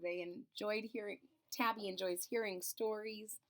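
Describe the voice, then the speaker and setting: A woman's voice: medium pitch, fairly even intonation, moderate pace. A woman reading a children's storybook aloud expressively, drawing out phrases and adding explanatory asides about the pictures. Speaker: storybook reader and channel host.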